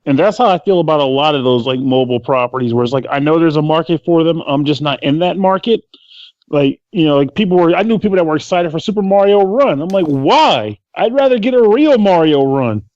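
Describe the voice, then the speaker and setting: Higher-voiced wisecracking man, dull lo-fi. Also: animated, fairly quick, a little mellow, dry recording. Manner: wisecracking, swearing. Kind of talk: casual chat.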